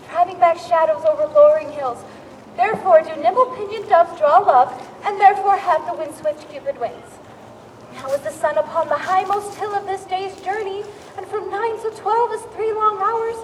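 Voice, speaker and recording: Woman doing impatient lovesick young shakespeare girl, super high, echoey hall far from mic, bit of noise. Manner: theatrical, declaimed, eager. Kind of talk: Shakespearean stage acting, verse.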